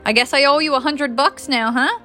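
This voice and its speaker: A woman's voice: sits high, brisk, sharp tone, faint music behind, pitched above the performer's own voice. A woman with a Newfoundland accent voicing a playful, stubborn young man in a story, casual and friendly. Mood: amused.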